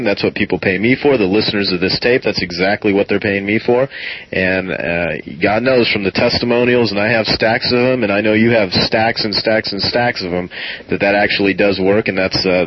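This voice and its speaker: Low-voiced male interviewer, slightly husky and earnest; dry, dull tape recording. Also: dry recording. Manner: agreeable, earnest affirmations. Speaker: interview host. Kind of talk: business interview chat.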